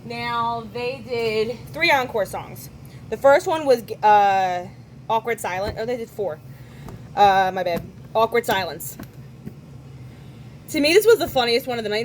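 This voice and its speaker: A young woman with a hoarse voice from singing at a concert, hosting a podcast and talking casually with quick asides and self-corrections. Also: on the high side, lively intonation, slight background noise, rough recording. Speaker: podcast host. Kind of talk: casual chat recounting a concert.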